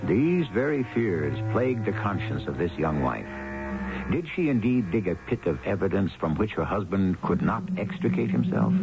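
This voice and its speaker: A man's voice: lowish voice, vocal fry. A man hosting and narrating a 1970s radio mystery, theatrical and portentous in his delivery. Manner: theatrical, portentous, measured rhetorical questions. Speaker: radio drama host and narrator. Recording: dull 1970s radio recording, music behind.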